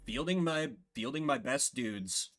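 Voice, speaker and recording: A man's voice: medium pitch, animated, measured pace, fairly bright tone. A man giving casual game commentary as a streamer, thinking aloud in an informal way. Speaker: streamer playing and voicing a game.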